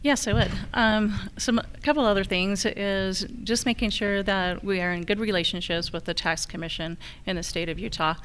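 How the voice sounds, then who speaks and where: A woman's voice: mid-range voice, fairly even intonation, normal speed, few pauses, a bit bright, slight background noise. A woman with an American accent giving answers as a candidate at a public forum. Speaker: county assessor candidate.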